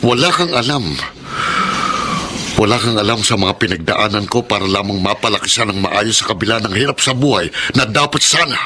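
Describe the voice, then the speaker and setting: A man's voice: on the low side, fairly quick, noisy background, natural voice. A man with a Filipino accent playing a middle-aged, bitter, devoted single father in a radio drama, emphatic and accusing. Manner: emphatic, accusing, repeats phrases for force.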